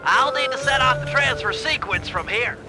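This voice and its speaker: A man voicing a nerdy, excitable strategist sidekick in a cartoon video game, precise and nasal. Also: very high voice, normal speed. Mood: focused, helpful. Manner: precise, fussy, technical. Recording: loud music behind the voice.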